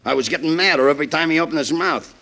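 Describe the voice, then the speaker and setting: Male, 1940s radio crime drama: slow-witted hot-headed young boxer, gravelly and forceful, slangy. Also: fairly high pitch. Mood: brooding, guilty. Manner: slangy, uneducated, halting speech. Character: dim-witted, loyal young prizefighter, hot-tempered and guilt-ridden.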